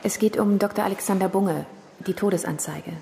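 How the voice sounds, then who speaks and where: A woman's voice: mid-range voice, a little fast, creaky, mellow, slight background noise, natural voice. An actress playing a sceptical female prosecutor in a radio drama, putting direct, probing questions.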